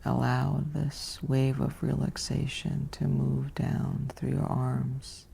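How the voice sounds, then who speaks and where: A woman guiding a sleep meditation in a soft, whispery, soothing voice with long pauses, like ASMR bedtime narration. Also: very deep voice, monotone, gravelly, husky.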